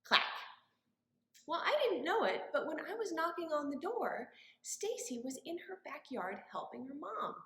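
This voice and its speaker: A woman's voice: sits high, big pitch swings, normal speed, sharp tone. A woman hosting a children's storytime as a librarian, leading rhymes and stories in an encouraging, sing-song way and speaking directly to the children. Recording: roomy, echoing indoor sound.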